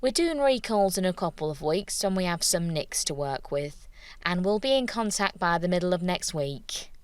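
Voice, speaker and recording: A woman acting in an audio drama as a brisk TV casting boss who is businesslike, wry and politely dismissive. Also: fairly low pitch, animated, smooth, no echo, lightly acted. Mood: smoothly defensive. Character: brisk, smooth-talking female TV casting boss, privately scornful.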